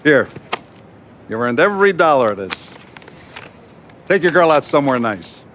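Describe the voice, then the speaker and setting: A man's voice: on the high side, sing-song, normal speed, a bit bright, a little hiss or hum. An actor playing a fatherly, shrewd older mafia boss in a cinematic crime game. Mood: pleased, paternal. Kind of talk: naturalistic cinematic game acting.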